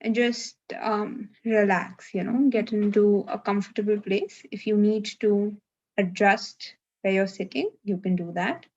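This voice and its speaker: A woman leading a live guided meditation, giving gentle, unhurried instructions with long pauses, over a video call. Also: mid-range voice, muffled recording.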